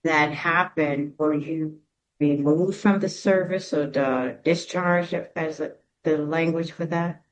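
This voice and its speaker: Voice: medium pitch, steady tone, slow, rough recording. An interviewer putting questions to a guest in a conversational interview.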